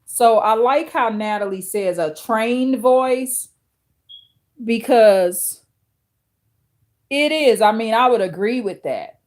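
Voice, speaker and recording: A woman with a deep, authoritative voice hosting a livestream, reading viewer chat aloud and answering slowly with many fillers and restarts. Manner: addresses viewers directly. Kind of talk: casual chat with live viewers.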